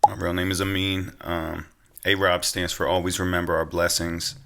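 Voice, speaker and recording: A man's voice: sits low, level tone, moderate pace, vocal fry, heavy background noise. A young American man chatting casually as a podcast guest, easygoing and slangy. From Pennsylvania, United States. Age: young adult. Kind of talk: casual interview chat.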